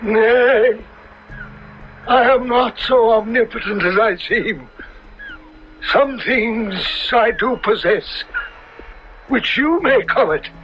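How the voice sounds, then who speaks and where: A really high strained monster voice, sly and greedy, slow wheedling old-timey talk, vintage radio horror, muffled. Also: male voice, soft background music. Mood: sly bargaining. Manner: archaic, theatrical, wheedling speech. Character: misshapen male monster, cunning, covetous, eagerly bargaining. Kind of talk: 1970s radio horror drama acting.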